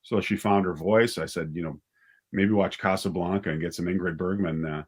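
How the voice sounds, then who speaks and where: Low-voiced man in casual interview chat. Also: fairly even intonation, normal speed, breathy edge. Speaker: guest, audio drama writer and director.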